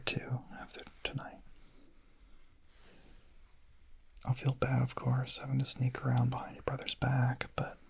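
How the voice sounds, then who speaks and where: A man playing a shy, gentle young vampire in a whispered ASMR roleplay, murmuring softly, hesitantly and intimately in a breathy voice. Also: medium pitch, rough recording. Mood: tender, hesitant. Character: shy, gentle young male vampire, smitten misfit confessing.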